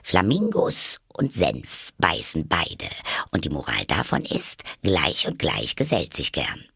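A self-satisfied old duchess, as a male storybook reader puts her on, forever drawing morals. Her voice sinks deep and gravelly into husky whispers while the pitch still swoops about. The sound is close and dry. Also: wildly swooping pitch, slow pace, bright, forceful tone, no echo, full character voice. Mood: smug.

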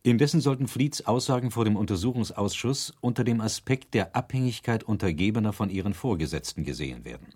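A grave, reflective commentator in a radio documentary play. His voice is low and rough-edged, with formal, measured, critical phrasing. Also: expressive, darkish tone. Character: grave, reflective male commentator judging the evidence. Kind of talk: documentary radio drama acting.

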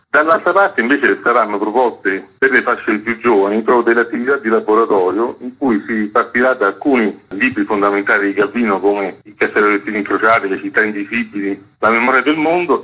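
A man with a fairly low voice talks as a curator in a radio interview. He explains things in long, articulate, flowing sentences. The audio is dull and slightly lo-fi. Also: pushed and bright, breathy edge. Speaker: artistic director and festival curator, interview guest.

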